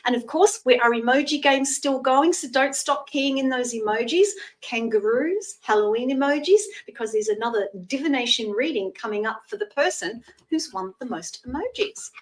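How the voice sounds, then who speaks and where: A Scottish woman in her sixties giving a live tarot reading, chatting in a gentle, reassuring way and using terms of endearment. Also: sits high, expressive, moderate pace, hardly any pauses, distant mic, rough recording. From Scotland. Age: 60s. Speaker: psychic tarot reader.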